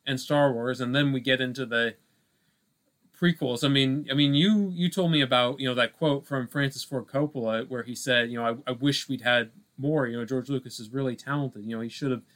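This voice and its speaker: A man with a medium-pitched voice talks casually as a film podcast co-host. He feels his way through each thought in a halting, conversational way, leaning often on small filler phrases. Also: a bit bright. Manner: halting, heavy use of "you know" and "like".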